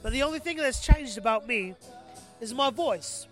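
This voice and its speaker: A man's voice: very high voice, expressive, normal speed, a bit bright. Guy doing a rambly chat in a kinda put-on english accent, repeats himself, music going behind him. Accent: put-on sounding English. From Milwaukee, Wisconsin, United States.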